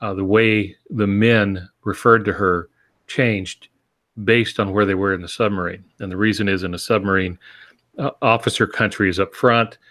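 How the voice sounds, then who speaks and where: A man with a fairly low voice talks as a co-host in a casual panel discussion. He explains through examples, feeling his way forward in a halting, measured manner. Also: steady tone, fairly bright tone. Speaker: podcast co-host.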